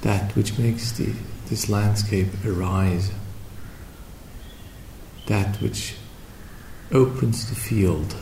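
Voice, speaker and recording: Man, meditation teacher, low creaky voice, soft dark tone, unhurried guided practice, reflective pauses, echoey room, faint noise. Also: steady tone. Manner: unhurried, reflective, with long pauses between short phrases. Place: indoor room at a meditation retreat. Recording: roomy echo with faint background noise.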